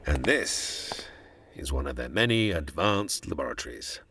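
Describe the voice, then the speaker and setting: A man's voice: rumbling low, big pitch swings, unhurried, background noise, heavily acted. A man playing a proud, learned older scientist who lectures formally and grandly in a radio sci-fi drama. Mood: grave, proud. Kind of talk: old-time radio sci-fi drama acting.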